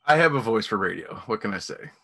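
Male podcast host, bright tone, relaxed and easygoing, good-humored. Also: fairly low pitch, quick pace, slight background noise. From Missouri. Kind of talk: casual interview chat.

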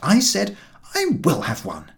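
A man's voice: high-pitched, wildly swooping pitch, a little slow, vocal fry, voice pushed up, full character voice. A man voicing an exasperated, indignant Englishman in an audiobook, with an emphatic, aggrieved delivery. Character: exasperated, indignant Englishman recounting his mishap. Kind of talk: audiobook character dialogue.

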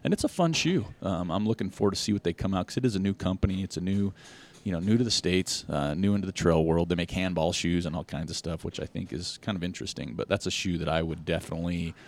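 A man's voice: sits low, fast talker. A man co-hosting a podcast, joking and rambling casually about trail shoes and jackets. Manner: jokey, rambling, many ums and restarts. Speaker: podcast co-host. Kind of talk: casual chat about running gear.

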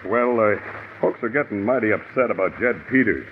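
Low-voiced, hesitant country sheriff. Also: male voice, lively intonation, fairly quick. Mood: apologetic, hesitant. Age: middle-aged or older. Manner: hesitant, hemming, placating, folksy. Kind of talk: 1950s radio drama acting. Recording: tinny, noisy old radio broadcast.

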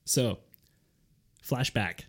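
Deep voiced guy hosting a podcast, deadpan storyteller. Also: very slow. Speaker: podcast host. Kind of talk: casual comedic podcast banter.

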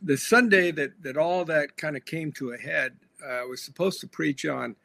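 A man, a pastor and author, earnestly explaining his faith in a conversational interview, pausing on fillers. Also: fairly high pitch, vocal fry, bright, forceful tone, no room sound. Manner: earnest, reflective, with frequent fillers.

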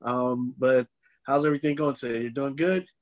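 A man hosting a podcast, chatting casually with a guest in an energetic, slangy way and laughing a lot. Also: mid-range voice, moderate pace, slight background noise. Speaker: podcast host.

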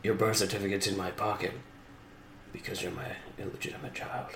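A man's voice: lowish voice, level tone, fairly quick, fairly bright tone. A man playing an earnest, exasperated, hapless bank robber in improvised comedic tabletop roleplay. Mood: confessional. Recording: echoey room with noticeable background noise.

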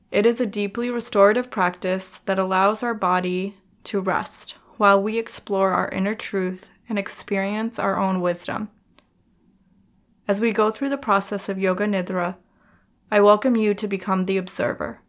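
A woman narrating a guided meditation in a soothing, measured manner, leaving gentle pauses between instructions. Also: mid-range voice, steady tone. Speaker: podcast host and yoga nidra guide.

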